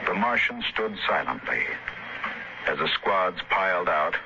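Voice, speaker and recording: An actor playing a grave narrator recalling a tragedy, speaking solemnly and deliberately in a 1950s radio drama. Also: male voice, deep voice, animated, background noise. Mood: somber. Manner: solemn, deliberate, drawn-out phrasing. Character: grave man narrator recalling a tragic death march. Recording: dull old radio recording, loud music behind.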